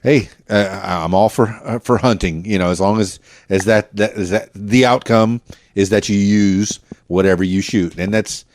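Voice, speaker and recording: A man's voice: fairly low pitch, normal speed, darkish tone, no echo. A man hosting a casual radio interview, asking questions in an informal, affirming, folksy way. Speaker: radio podcast host, interviewer.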